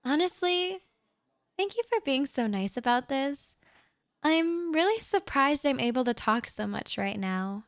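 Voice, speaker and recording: Slow, shy young woman rambling softly. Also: sits high, lively intonation, no echo, clean studio sound. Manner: shy, rambling and soft-spoken with nervous laughs. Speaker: audio roleplay performer. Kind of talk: intimate audio roleplay monologue to the listener.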